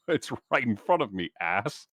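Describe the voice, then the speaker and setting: A man's voice: fairly low pitch, big pitch swings, measured pace, dry recording, studio quality. A man playing a tabletop role-playing game, trading casual banter in a wry, sarcastic way.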